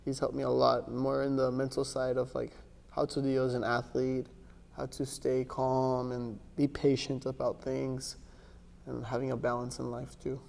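A soft-spoken young man from Miami, Ecuador and Texas talks in a relaxed, halting way, with a gravelly, mellow voice. His pitch stays flat, and a long reverb from the big gym room trails each phrase. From born in Miami, raised in Ecuador and Texas. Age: young adult. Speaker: interview guest, jiu-jitsu athlete. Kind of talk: casual interview answers. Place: gym with large windows, indoors.

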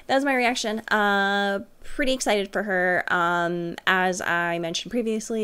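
A woman's voice: mid-range voice, a bit bright, smooth. A young adult woman giving casual, rambling reaction commentary to her viewers as a streamer at home. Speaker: streamer, reaction video host. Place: small furnished room at home.

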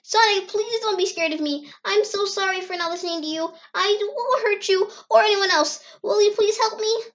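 A very high, emotional cartoon fox boy acted out by a woman, earnest and anguished. The voice sits in a dull, lo-fi comic dub recording. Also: moderate pace, a bit bright. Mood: apologetic. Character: anguished young male giant fox, emotional and self-blaming.

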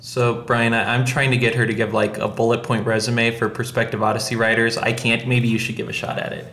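A man's voice: medium pitch, flat delivery, brisk, gravelly, fairly bright tone, slight background noise. A man hosting a podcast in a casual, chatty style with a wry manner. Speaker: podcast host. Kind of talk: casual chat. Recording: roomy echo.

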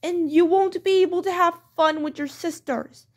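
A woman's voice: very high-pitched, steady tone, moderate pace. A woman playing a strict mother who lays down rules in a firm, scolding way, in a homemade pretend-play skit. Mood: stern.

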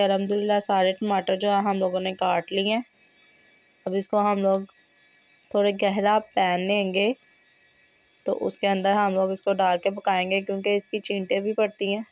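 A woman with a hoarse, fevered voice, hosting a home cooking tutorial and giving steady step-by-step instructions in a kitchen. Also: mid-range voice, no room sound. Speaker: cooking channel host.

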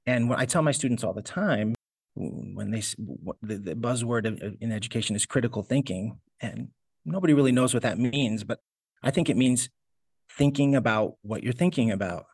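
Man, middle pitch, earnest guest reflecting aloud, dry sound. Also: brisk, darkish tone, breathy edge, no room sound. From United States. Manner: thoughtful, searching, many restarts. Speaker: guest, homesteader and teacher. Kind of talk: reflective interview answers.

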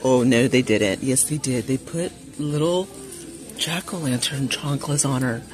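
A woman vlogging as she shops in a big home goods store, chatting casually to viewers with frequent gasps and excited exclamations. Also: very deep voice, a little slow, music in the background. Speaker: shopping vlogger. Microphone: phone camera held while shopping.

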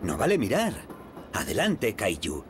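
A man's voice: lowish voice, wildly swooping pitch, measured pace, background noise. A man with a Castilian Spanish accent playing a friendly, playful train steward in a children's cartoon, coaxing as if to a small child. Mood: playful, kindly. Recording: music behind the voice.

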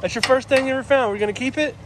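A man's voice: extremely high pitch, rapid-fire. A father filming on his phone at an outdoor lake dock, patiently coaching and encouraging as he gives instructions. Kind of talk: casual instructions while filming. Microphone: phone held while filming. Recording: phone audio with clear wind noise outdoors.

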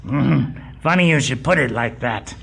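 A man's voice: medium pitch, animated, normal speed, gravelly, fairly bright tone, slightly rough, full character voice. An actor playing a shifty, bumbling Nazi henchman in a comic audio drama, with a hesitant, theatrical manner. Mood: slyly offended. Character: shifty, bumbling male Nazi henchman posing as museum official.

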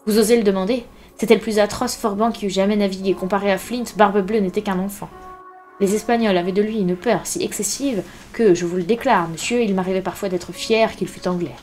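A woman voicing a haughty, excitable country squire in a storybook, exclaiming in grand, indignant phrases. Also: medium pitch, normal speed, soft background music, natural voice. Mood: indignant awe.

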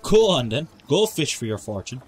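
A man's voice: mid-range voice, sing-song, normal speed, darkish tone, slight background noise, natural voice. Man playing a folksy, amused old carter. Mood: teasing, fond. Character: jovial old country carter, teasing uncle. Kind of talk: audio drama character acting.

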